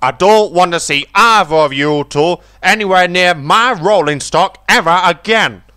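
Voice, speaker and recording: A man's voice: sits high, measured pace, pushed and bright, smooth, dry recording, clean studio sound, voice pushed up. A man voicing a stern, fair-minded male railway boss in a children's story, speaking formally and emphatically. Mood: angry. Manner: formal, emphatic speech-making.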